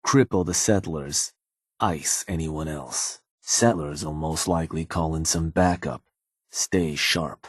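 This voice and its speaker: Low, husky, sardonic raider advisor. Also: male voice, a little mellow, no echo, studio quality, exaggerated voice. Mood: wry, coolly ruthless. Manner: laconic, folksy, matter-of-fact menace. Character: shrewd, sardonic male raider gang advisor, coolly ruthless. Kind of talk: video game character dialogue.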